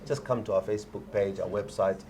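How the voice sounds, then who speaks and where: A male company executive answering press questions in a measured, informative way. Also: on the low side, steady tone, darkish tone, slight background noise. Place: company headquarters at a press briefing.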